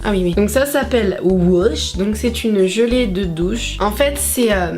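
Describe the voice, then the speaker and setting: A woman rambles through a shopping haul in loose, off-the-cuff chat. She swears freely and exclaims at whatever she pulls out. Her voice sits at an ordinary pitch, and music plays clearly underneath. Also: measured pace, darkish tone. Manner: chatty, swears often, exclaims at products. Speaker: beauty haul vlogger. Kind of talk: casual unscripted haul chat. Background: background music.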